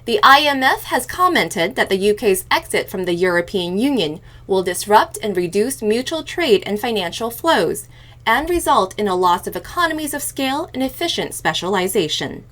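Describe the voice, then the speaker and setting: A woman hosting a news programme, reading a scripted report in a measured, enunciated way. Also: mid-range voice, very animated, fairly bright tone. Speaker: news podcast host reading headlines.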